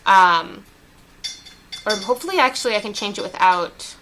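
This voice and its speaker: A woman's voice: mid-range voice, animated, normal speed, bright, forceful tone, low-quality recording. A woman streaming a video game, chatting casually and rambling with lots of fillers. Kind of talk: casual let's-play chat.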